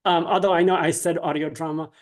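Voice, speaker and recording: A man giving informal, instructional answers as an interview guest, explaining things in a hesitant, measured way. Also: a little high, flat delivery. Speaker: guest writer being interviewed.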